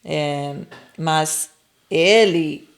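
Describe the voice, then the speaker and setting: Low, gravelly woman, English native. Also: extremely slow. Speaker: podcast guest. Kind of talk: casual conversation.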